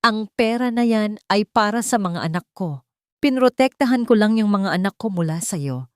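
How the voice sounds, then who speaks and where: A woman's voice: medium pitch, lively intonation, normal speed, darkish tone, dry recording, clean studio sound, voice pushed up, lightly acted. A woman voicing a frightened but defiant mother in a dramatic story, trembling yet firm. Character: frightened but defiant young mother protecting her children.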